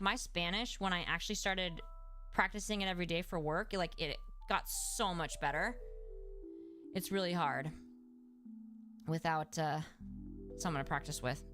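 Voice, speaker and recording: A woman's voice: lowish voice, normal speed, fairly bright tone. A young adult woman, a speech-language pathologist, chatting casually on a livestream and answering viewers, with lots of "like" fillers and restarts. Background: background music.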